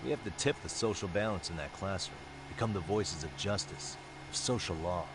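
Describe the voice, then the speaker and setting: A man playing a level-headed private detective in a video game, speaking in a measured, assured way. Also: sits low, background noise. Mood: earnest, determined. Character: level-headed male private detective plotting against bullies. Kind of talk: video game dub acting.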